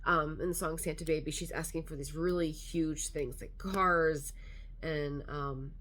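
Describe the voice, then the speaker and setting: A woman's voice: sits low, fairly even intonation, measured pace, a bit bright. A woman vlogger chatting casually to camera, congested and a little nasal, rambling with lots of fillers.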